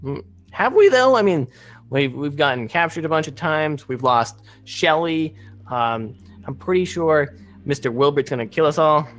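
A man's voice: a little high, lively intonation, moderate pace, fairly bright tone, faint music behind. A man playing an earnest, exasperated son stranded on a zombie island in an improvised game roleplay, with a nagging manner. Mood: doubtful. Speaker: gaming roleplay host.

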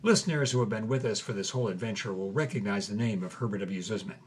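A man's voice: fairly low pitch, fast talker, slight background noise. A man hosting a scripted podcast, narrating with dramatic emphasis and reading old documents aloud. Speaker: host.

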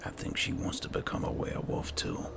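A man's voice: fairly quick, over loud music. A man casually commentating on a video game, roleplaying and musing aloud to himself. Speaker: gaming host doing roleplay playthrough.

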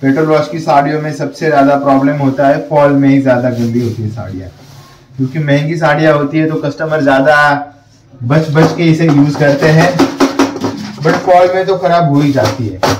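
A man's voice: a little high, brisk, reverberant, very noisy. A man in his thirties narrating a casual step-by-step laundry how-to, talking to viewers as friends in an indoor workshop. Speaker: laundry business owner.

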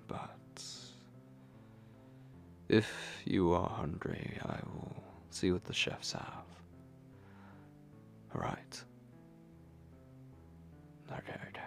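A man playing a possessive, hungry vampire lord in an intimate ASMR roleplay, breathy and commanding, speaking slowly with menace. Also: deep voice, full character voice. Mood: grudgingly polite. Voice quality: breathy, whispery, gravelly. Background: music.

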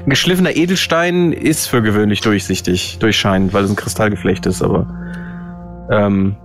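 Lowish-voiced man playing a tabletop game. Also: moderate pace, over music. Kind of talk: casual in-game chat.

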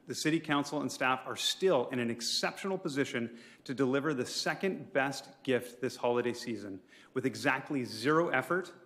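A man's voice: mid-range voice, fairly bright tone. A man speaks at a public council meeting in a mid-range voice. He reads a formal prepared address as an advocate, pleading his case and now and then tripping on a word. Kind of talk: prepared speech to a city council.

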